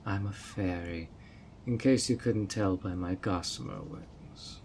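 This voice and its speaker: A man's voice: low voice, a little slow, darkish tone, a little hiss or hum. A man voicing a sweet but obsessive male fairy who coaxes a guest in an audio roleplay, with a honeyed, playfully sly manner. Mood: welcoming, delighted. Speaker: fairy host of a tea party.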